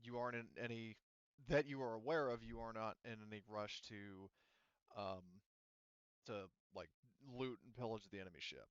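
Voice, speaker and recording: A man with a low, clean voice leads a tabletop game. He rambles as he works things out aloud, in casual and sometimes profane language. The sound is dry and a little muffled. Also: fairly even intonation, moderate pace, clean tone, no echo. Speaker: game master.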